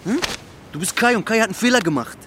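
Gang enforcer for radio drama: high male voice, sneering, vulgar, threatening, slight vehicle noise behind. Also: lively intonation, quick pace. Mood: menacing. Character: menacing, foul-mouthed male gang thug, sneering.

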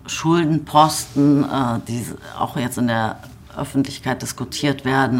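Creaky, hesitant female politician being interviewed. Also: very deep voice. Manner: hesitant, halting, guarded. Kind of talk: careful spoken interview answers.

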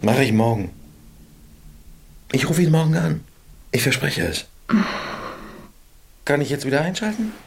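A man's voice: fairly high pitch, animated, measured pace, vocal fry, pauses often. An actor playing a cocky, crude middle-aged husband and father who thinks himself king of the house, in naturalistic radio drama style. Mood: evasive. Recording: music behind.

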